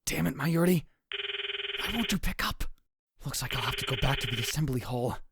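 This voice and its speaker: A man's voice: mid-range voice, expressive, brisk, gravelly, very noisy. A man playing a dramatic, paranoid young self-styled mad scientist in a visual novel fan dub, theatrical, brooding and self-important. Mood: indignant, worried. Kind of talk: visual novel fan dub, first-person narration and dialogue.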